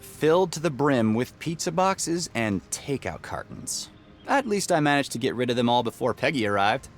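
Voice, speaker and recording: A man's voice: medium pitch, very animated, moderate pace, soft background music. An actor playing an earnest, slightly nervous young male inventor in an adventure game, boyish and often musing aloud. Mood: wry. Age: young adult.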